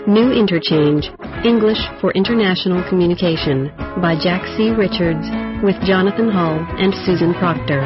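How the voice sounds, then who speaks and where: A woman's voice: fairly low pitch, normal speed. A woman formally reading the credits and contents at the start of an English course audio program. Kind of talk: formal scripted introduction. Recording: lo-fi tape with music behind.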